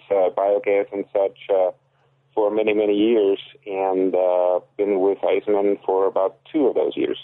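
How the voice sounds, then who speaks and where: Austrian-accented man on a tinny phone line. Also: fairly low pitch. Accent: Austrian German. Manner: brief, matter-of-fact answers. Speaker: engineer and guest caller. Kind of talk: phone-in interview.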